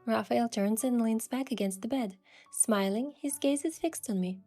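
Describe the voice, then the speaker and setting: A woman's voice: fairly high pitch, normal speed, mellow. A woman reading scripted first-person romance narration and voicing the heroine. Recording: music behind the voice.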